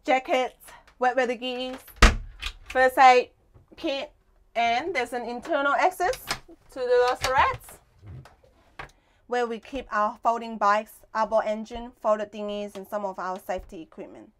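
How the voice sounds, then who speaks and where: Higher-pitched young woman giving a tour. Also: a little slow, long pauses, a little hiss or hum. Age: young adult. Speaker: boat tour host. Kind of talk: casual guided tour narration. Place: inside a small sailboat cabin.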